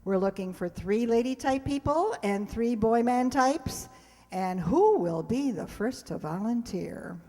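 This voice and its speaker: A woman's voice: on the high side, expressive, measured pace, warm tone. A woman hosting a live show on stage, addressing an audience in an informal way with ad-libbed asides. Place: hall before a live audience. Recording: hall reverb, live stage recording.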